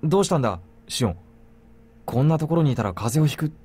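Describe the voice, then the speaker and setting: An anxious young hero, fast and lively. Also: male voice, a little high, husky. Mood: urgent. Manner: urgent, calling out. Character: young man, anxious and caring protagonist. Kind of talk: Japanese game voice acting. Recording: game audio with music behind.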